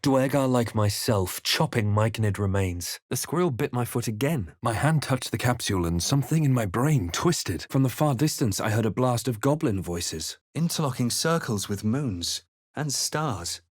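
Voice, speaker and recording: A brooding adventurer from a fantasy role-playing game, acted by a man. He recounts his quest with grave, measured theatricality in a medium-pitched male voice. The sound is close and dry. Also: animated, no room sound, close to an everyday voice. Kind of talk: dramatic scripted game voice lines.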